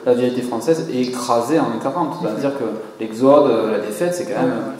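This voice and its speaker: A man's voice: medium pitch, brisk, a loud crowd. A French man on a conference panel, speaking hesitantly and searching for his words. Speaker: conference co-speaker, magazine editor. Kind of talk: panel discussion. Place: conference hall. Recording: echoey hall with long reverb.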